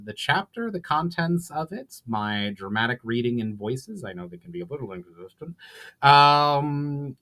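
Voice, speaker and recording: A man's voice: medium pitch, a bit bright. Hesitant, friendly man chatting to viewers. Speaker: author and host. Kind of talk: casual sign-off chat to viewers.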